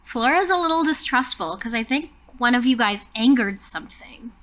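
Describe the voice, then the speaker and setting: High, bright-voiced woman narrating a roleplay. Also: animated, normal speed. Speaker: tabletop game player.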